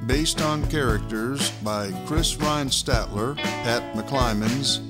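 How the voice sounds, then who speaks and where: Unhurried male announcer over guitar. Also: mid-range voice. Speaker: show announcer. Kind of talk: scripted credits read over music. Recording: voice over loud guitar music.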